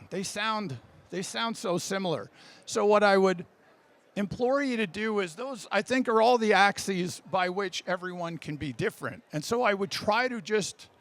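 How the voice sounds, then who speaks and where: A man's voice: high voice, fairly bright tone. A man giving an informal conference talk on writing, casual and jokey with a self-deprecating streak. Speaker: speaker giving a workshop talk.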